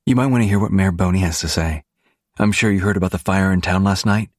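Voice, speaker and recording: A man's voice: low-pitched, fast talker, darkish tone, no room sound, clean studio sound, pitched above the performer's own voice. A man voicing a cocky young investigator with a pressing, official manner in an audiobook. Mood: insistent. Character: cocky young baby-faced male investigator in a suit.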